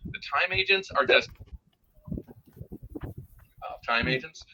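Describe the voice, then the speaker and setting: A man giving his opinions in a casual review discussion, often pausing and restarting as he thinks. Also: mid-range voice, expressive, bright, forceful tone, a little hiss or hum, rough recording. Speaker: podcast guest reviewer.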